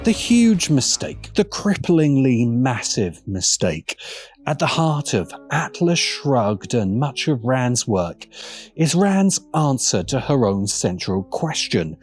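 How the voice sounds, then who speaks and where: A man reads an opinionated scripted essay with animated, swooping intonation. His delivery is emphatic and sardonic, and music plays behind his voice. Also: medium pitch, slow pace. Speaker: video essayist.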